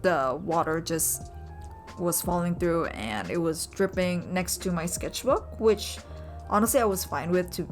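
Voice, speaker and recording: A young woman with a lower voice narrates a sketching vlog at an easy, rambling pace, often pausing with ums. She is a native Indonesian speaker talking in English, with soft music playing under her voice. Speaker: vlogger and urban sketcher. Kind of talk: casual voice-over narration.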